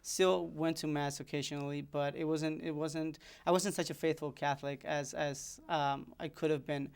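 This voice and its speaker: A man's voice: a little high, level tone, normal speed, gravelly, clear, resonant tone. A young man from Ecuador, a seminarian guest talking earnestly with many fillers and restarts.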